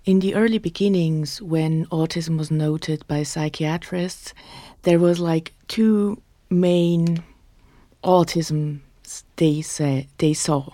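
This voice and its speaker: A woman in her thirties with a Swiss German accent, hosting her own podcast in an earnest, frank monologue, often adding "like" between quick bursts. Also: deep voice, fairly even intonation, mellow, no room sound. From Switzerland. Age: 30s. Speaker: podcast host.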